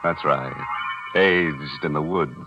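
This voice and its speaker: A man's voice: extremely low pitch, slow. A man playing a ghoulish, gleefully macabre horror host in 1940s radio style, sly, punning and theatrical. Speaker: horror anthology host and narrator. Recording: dull 1940s radio broadcast with music.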